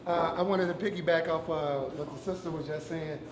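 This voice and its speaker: Loud man in an echoey room. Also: a little high, fairly even intonation, brisk, a loud crowd. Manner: loud, speaks without a mic. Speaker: audience member asking a question. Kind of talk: open discussion at a community event.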